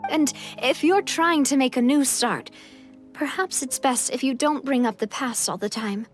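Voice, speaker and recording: A woman's voice: high voice, moderate pace, soft background music, close to an everyday voice. A woman voice acting a confident, considerate young society president in a video game, with a composed, reassuring manner. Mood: gently chiding.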